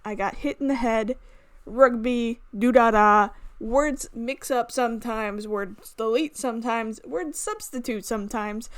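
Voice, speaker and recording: A woman's voice: fairly high pitch, measured pace, few pauses, clean tone, no echo. A woman game streamer reading a visual novel aloud, playfully stumbling over words and breaking into casual asides. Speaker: gaming channel host.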